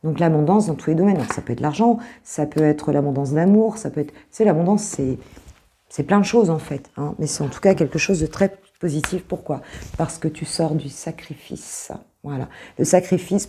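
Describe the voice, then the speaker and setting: A French woman who is a coach, reading cards to her viewers in a casual, hesitant way with many fillers and a slightly hoarse voice. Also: sits low. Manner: hesitant, many fillers, soft reassuring asides.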